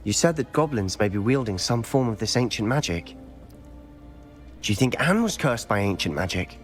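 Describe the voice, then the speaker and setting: A young man playing a curious, eager teenage schoolboy wizard in a video game, expressive and inquisitive in his speech. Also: on the low side, normal speed, faint music behind. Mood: earnest, pensive. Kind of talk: video game cutscene dialogue.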